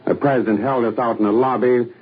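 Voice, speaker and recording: A man's voice: mid-range voice, steady tone, fast, husky, phone-quality sound. An American man giving an eyewitness report as a 1940s radio news reporter, on an old broadcast recording.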